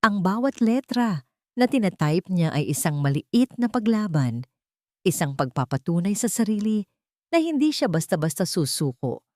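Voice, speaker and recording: A woman's voice: medium pitch, big pitch swings, moderate pace, mellow, dry recording, studio quality. A woman narrating a scripted romance story in a dramatic storytelling style.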